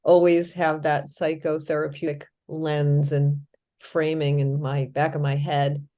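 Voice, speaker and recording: Woman, low voice, middle-aged, podcast co-host, reflective casual chat. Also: little pitch movement, unhurried, warm tone. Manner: thoughtful, lists ideas with many fillers.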